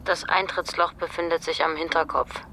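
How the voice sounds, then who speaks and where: A woman's voice: low voice, fairly even intonation, normal speed, bright, forceful tone, slight background noise. An actress in a German radio drama playing a brusque, sardonic policewoman who talks in a curt, dry, naturalistic way. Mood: matter-of-fact. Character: brusque, sardonic policewoman, sceptical and quick to bite.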